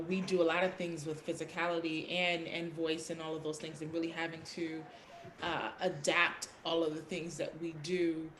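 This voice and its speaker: Female stage actor, slightly low pitch, casual chat, noisy ambience behind. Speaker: theatrical actor. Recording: echoey room with clear background noise.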